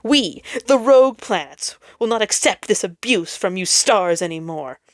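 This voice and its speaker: A woman's voice: mid-range voice, expressive, normal speed, no room sound, natural voice. A woman voicing a defiant rebel planet in a dramatic story reading, declamatory and accusing. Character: defiant rogue planets rebelling against the stars.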